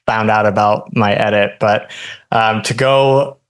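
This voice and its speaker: A young man from Hawaii, a podcast guest telling his story in a relaxed way, full of ums and likes. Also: on the low side, unhurried, clear, resonant tone. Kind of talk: casual interview chat.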